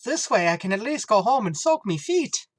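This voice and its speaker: A man's voice: high voice, very animated, normal speed, dry recording, clean studio sound. A man playing a jovial, grumbling police officer in an old-time radio comedy. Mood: hearty, griping. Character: jovial flat-footed male police officer.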